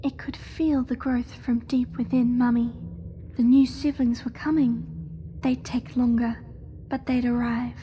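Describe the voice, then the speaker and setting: A woman voicing a devoted young spider hatchling in a horror audio drama, telling its story in a hushed, measured, eerily innocent way. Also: on the high side, flat delivery, a little hiss or hum, natural voice. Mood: quiet, devoted.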